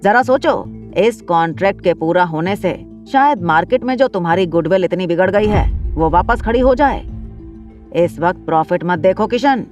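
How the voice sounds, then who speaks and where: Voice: mid-range voice, expressive, moderate pace, soft background music, natural voice. A narrator voicing a scheming middle-aged businessman who bargains pushily in an audio drama. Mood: coaxing. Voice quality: smooth, pushy bargaining.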